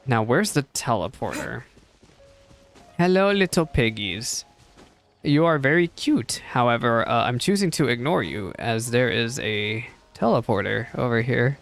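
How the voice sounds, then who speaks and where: A man's voice: medium pitch, lively intonation, unhurried, vocal fry, fairly bright tone, faint music behind. A man giving casual live reaction commentary on a game, chatty, rambling and self-deprecating. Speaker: game streamer.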